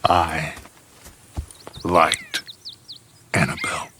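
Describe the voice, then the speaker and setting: An actor playing a proud, wary outlaw gang leader in a video game, speaking gravely in a husky voice. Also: male voice, extremely low pitch, flat delivery, very slow, bright, forceful tone, a little hiss or hum, exaggerated voice. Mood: guarded, grave. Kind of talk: video game cutscene acting.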